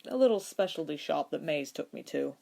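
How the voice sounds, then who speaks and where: A woman's voice: low-pitched, expressive, fairly quick, vocal fry, warm tone, exaggerated voice. A woman voicing a wry, composed, tender man in an acted fan fiction reading. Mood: casual, content.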